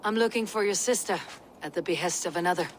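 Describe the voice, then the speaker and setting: A woman playing a steadfast Viking warrior leader in a video game, measured, authoritative and direct. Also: fairly low pitch, slight background noise, close to an everyday voice. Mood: calm, direct. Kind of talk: video game cutscene acting.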